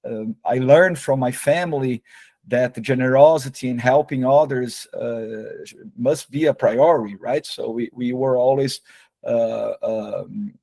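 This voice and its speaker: A man's voice: medium pitch, darkish tone, dry recording. Young Brazilian man, Portuguese accent, hesitant non-native interview guest, dry sound. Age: young adult. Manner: hesitant, repeats words and uses many fillers. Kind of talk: casual interview.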